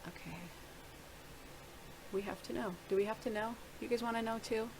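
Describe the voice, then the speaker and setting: A woman's voice: on the high side, fairly even intonation, moderate pace, slight background noise, rough recording. A middle-aged woman casually talking through a screen-share video blog, rambling and thinking aloud with many restarts. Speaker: video blogger.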